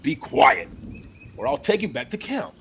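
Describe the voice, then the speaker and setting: A stern young knight played by a man narrator in a fantasy storybook, plain-spoken and scolding. The pitch moves around freely over clear background noise. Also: huge pitch swings, moderate pace, full character voice. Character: stern young hedge knight correcting his squire.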